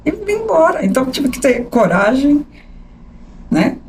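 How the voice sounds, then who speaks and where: A woman in her sixties with a São Paulo accent, a journalist telling her life story as a podcast guest, animated and often asking "né?". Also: sits high, a little slow, slight background noise. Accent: São Paulo capital Portuguese. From São Paulo state. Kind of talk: conversational interview storytelling.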